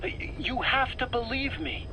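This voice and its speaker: An anxious, defensive scientist under questioning in a game drama. His voice is bright and tense, with earnest, stammering self-justification. A faint tape noise sits over it. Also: very high-pitched, lively intonation, a little slow, heavily acted. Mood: defensive, pleading. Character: nervous, self-justifying male scientist under interrogation, pleading. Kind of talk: video game voice acting. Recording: noisy cassette tape interrogation recording.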